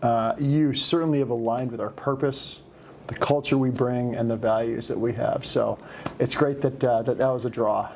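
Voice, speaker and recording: Man, corporate interviewer, encouraging, measured, average pitch, vocal fry. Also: steady tone, darkish tone, slight background noise. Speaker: company leader interviewing a colleague.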